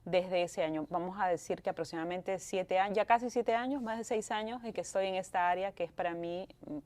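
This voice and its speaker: A woman's voice: on the low side, brisk, a little mellow. A woman from Peru speaks with a Peruvian Spanish accent, a lawyer in her thirties who is a guest on an interview show. She talks in a chatty, easy way and now and then slips English words into her Spanish. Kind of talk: interview answers.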